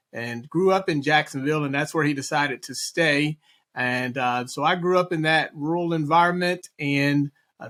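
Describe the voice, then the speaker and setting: A middle-aged man from eastern North Carolina, a business consultant speaking as an interview guest in a measured way, with frequent fillers. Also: fairly high pitch, a bit bright. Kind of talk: conversational interview.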